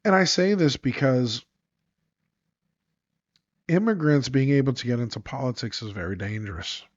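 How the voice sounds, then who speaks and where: A man's voice: mid-range voice, normal speed, dry recording. A congested man hosting a solo podcast, talking in an emphatic, sarcastic monologue full of rhetorical questions. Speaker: podcast host. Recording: dry, dull lo-fi sound.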